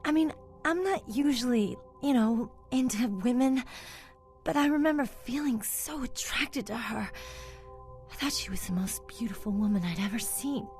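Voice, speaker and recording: A woman's voice: a little high, moderate pace, a little mellow, soft background music, close to an everyday voice. A woman playing a sick, vulnerable young woman in a video game, speaking weakly and hesitantly, as if confiding, with coughs. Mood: weak, wistful. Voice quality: coughing, weak delivery. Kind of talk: video game dialogue acting.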